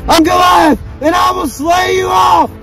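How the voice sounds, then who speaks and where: An actor playing a roaring, menacing giant warrior in a Bible story. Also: male voice, extremely high pitch, steady tone, measured pace. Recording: loud music and crowd noise over voice.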